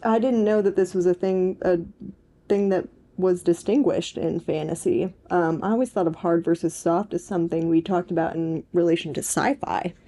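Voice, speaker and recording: A woman's voice: lowish voice, steady tone, moderate pace, warm tone. A young woman chatting as a podcast co-host, informal and playfully emphatic in the way she talks. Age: young adult. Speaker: podcast co-host and PhD student. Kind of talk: casual podcast chat.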